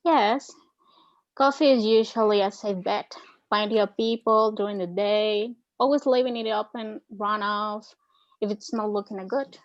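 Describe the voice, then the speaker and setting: A woman's voice: mid-range voice, steady tone, unhurried, no echo, close to an everyday voice. A woman playing a friendly, reassuring coffee shop owner in her thirties in a screenplay read, over dull call audio. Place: remote virtual call.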